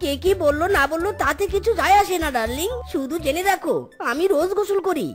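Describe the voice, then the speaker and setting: A woman's voice: high-pitched, expressive, quick pace, music in the background. A woman voicing a scheming, boastful male fox in a comic cartoon, with a wheedling, theatrical delivery. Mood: coaxing.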